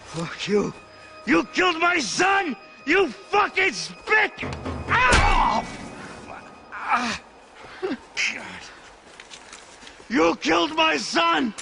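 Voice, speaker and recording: A vengeful mobster father, anguished and near crying, in a high, gravelly voice. His words drag out slowly in a melodramatic game scene, with loud music behind. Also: sing-song, full character voice. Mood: anguished rage. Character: grief-stricken, vengeful father, a male crime boss. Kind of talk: melodramatic video game cutscene acting.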